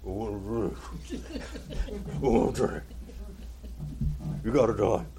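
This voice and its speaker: A man's voice: on the low side, huge pitch swings, slow, background noise. A man from Oklahoma with an Oklahoma accent, teaching a Bible class in a folksy way and calling on listeners by name. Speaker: pastor.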